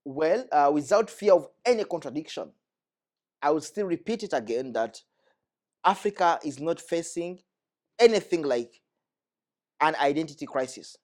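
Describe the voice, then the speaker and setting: A man's voice: fairly high pitch, expressive, normal speed, long pauses, darkish tone. A young man from the Democratic Republic of Congo, speaking earnestly and with emphasis as a guest, repeating his key points. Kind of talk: earnest discussion.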